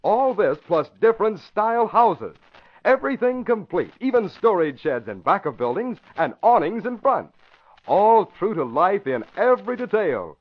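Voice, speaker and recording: Animated male old-time radio announcer, muffled broadcast. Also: sits high, moderate pace. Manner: emphatic, polished announcer delivery. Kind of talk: 1940s radio scripted narration and advertising.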